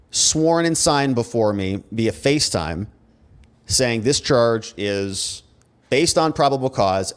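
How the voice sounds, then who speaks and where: An American man hosting legal commentary, reading documents aloud with emphatic, conversational asides and sarcastic rhetorical questions. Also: medium pitch. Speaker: host, criminal defense lawyer.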